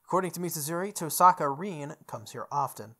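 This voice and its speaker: A woman's voice: low voice, animated, fairly quick, bright, forceful tone. A woman reading a visual novel aloud as a gaming host, acting out the dialogue and sometimes stumbling and rereading. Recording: music playing behind the voice. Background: game music.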